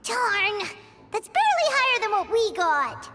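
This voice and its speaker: A woman voicing a small, childlike, boastful and excitable fairy companion in a video game, with a squeaky, bubbly delivery. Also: unhurried, over loud music, exaggerated voice. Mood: anxious, surprised. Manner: speaks of self in third person, bubbly. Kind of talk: video game character acting.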